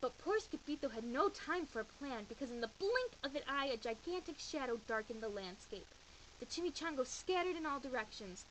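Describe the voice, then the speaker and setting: A woman reading a children's bedtime story aloud in an expressive, animated way with dramatic emphasis. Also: sits high, a little fast, low-quality recording. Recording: faint hiss behind voice.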